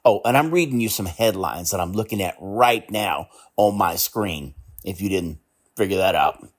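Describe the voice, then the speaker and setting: Low-voiced exasperated man vlogging. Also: animated. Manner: exasperated, drawn-out asides. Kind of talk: casual commentary reading video titles aloud.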